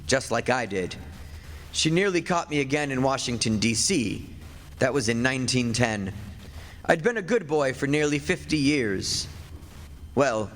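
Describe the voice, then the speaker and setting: A man's voice: mid-range voice, a bit bright, lightly acted. An actor playing a very old, henpecked husband of a witch, narrating a radio play in a wry, grumbling, confiding way. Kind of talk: stage radio play, 1940s-style narration. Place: theatre stage before an audience. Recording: echoey stage hall, music behind.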